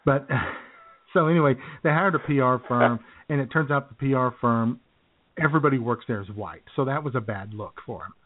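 A man co-hosts a comedy radio show and banters in a dry, sarcastic tone. He keeps stopping and restarting his sentences. His mid-pitched voice comes through thin and phone-like. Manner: halting, full of "you know" and restarts, dry sarcasm. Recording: tinny phone-like line.

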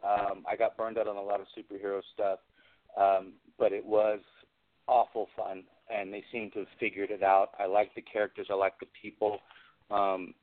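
A man's voice: fairly low pitch, little pitch movement, moderate pace. A man chatting casually as a guest in a radio interview, rambling through his opinions. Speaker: guest, film special effects artist. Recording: band-limited phone-like radio sound.

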